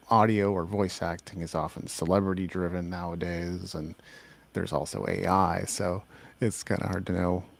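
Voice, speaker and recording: Man, very deep, gravelly, thoughtful interview host. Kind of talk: interview conversation.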